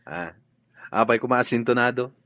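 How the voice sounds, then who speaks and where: An actor playing a smooth romantic suitor in a radio drama. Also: male voice, medium pitch, normal speed, a bit bright, lo-fi sound, natural voice. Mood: bantering.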